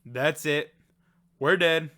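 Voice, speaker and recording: A man plays an excitable, loyal best friend caught in a battle-royale drama. The voice is on the high side for a man and anxious in manner. It is recorded close and dry. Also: slow pace, no room sound. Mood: pleading, alarmed. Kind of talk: amateur audio fiction dialogue.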